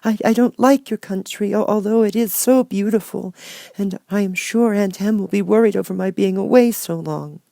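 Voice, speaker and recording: A man's voice: high voice, moderate pace, creaky, warm tone, no room sound, voice pushed up. A man voicing a small, meek young girl in a storybook, timid and earnest in her pleading.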